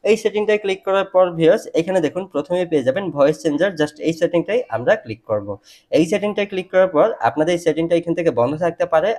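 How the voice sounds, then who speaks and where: A man's voice: on the high side, darkish tone. A man narrating a step-by-step phone tutorial, instructing and often addressing his viewers directly. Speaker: tech tutorial presenter.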